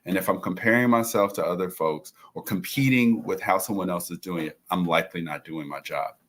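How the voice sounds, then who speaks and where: A man working as a prosecutor, speaking earnestly and in a measured way on a panel. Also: sits low. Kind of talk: panel discussion.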